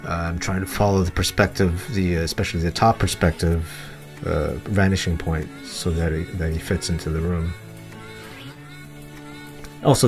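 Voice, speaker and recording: A man with a very low voice narrates a digital art demonstration. He speaks off the cuff, halting and searching for words as he thinks aloud. Guitar music plays clearly behind his voice. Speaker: digital art tutorial presenter. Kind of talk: unscripted software tutorial voice-over.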